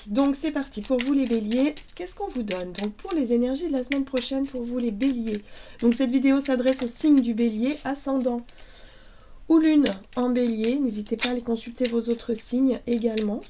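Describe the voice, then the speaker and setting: A woman's voice: fairly high pitch, background noise. A woman in her thirties with a cold, chatting casually and hesitantly through a tarot reading. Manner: chatty, hesitant with many fillers. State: has a cold, voice cracking. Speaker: tarot reader.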